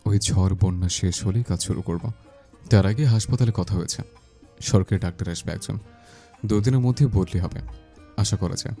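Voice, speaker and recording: A man plays an educated young landlord's son in a romantic audio drama. His voice is deep and mellow, with a polite, earnest composure. Faint music sits behind it. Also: moderate pace, pauses often. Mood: earnest, resolute. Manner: respectful, composed.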